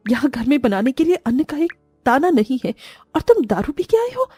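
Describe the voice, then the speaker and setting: A woman's voice: high-pitched, very animated, normal speed, warm tone, no echo, soft background music. A woman voicing a poor, long-suffering, devoted mother in a cartoon story, sorrowful and plaintive. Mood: reproachful.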